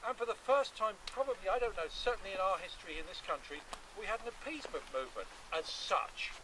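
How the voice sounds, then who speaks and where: High-voiced man speaking outdoors, distant and noisy. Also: lively intonation, quick pace. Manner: earnest, emphatic, repeats phrases for effect. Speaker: event speaker introducing a reenactment. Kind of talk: impromptu public introduction. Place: outdoors at a rural event. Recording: noisy outdoor recording from far off.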